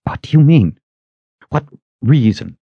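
Man voicing a flustered young woman, raised pitch. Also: expressive, no echo, dull audio. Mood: flustered defiance. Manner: halting, protesting, flustered. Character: defiant, anxious young woman in love.

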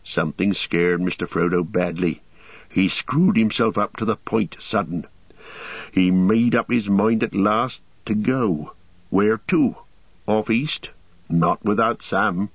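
A man's voice: lowish voice, slow pace, husky, no echo, muffled recording, heavily acted. A man voicing a loyal, anxious male hobbit servant with homely, rustic phrasing, for a storybook. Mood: anxious pondering.

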